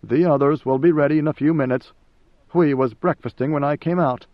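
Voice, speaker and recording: A man's voice: a little high, fairly quick, dry recording, highs cut off, close to an everyday voice. A man voicing an anxious, devoted male major domo who speaks in a pleading, deferential way.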